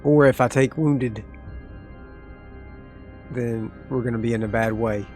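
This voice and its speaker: A man playing a solo tabletop game aloud, reading rolls and rules and reacting with excited asides. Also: mid-range voice, a little slow, faint music behind. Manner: talks through dice rolls, excitable asides. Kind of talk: solo actual play, thinking aloud.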